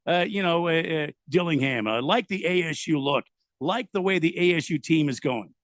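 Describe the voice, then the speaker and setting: Man, sports radio host, rather high pitch, dry close mic, stumbling fillers. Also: moderate pace, fairly bright tone, dry recording. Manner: hesitant, many filler words and restarts. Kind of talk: live sports radio talk.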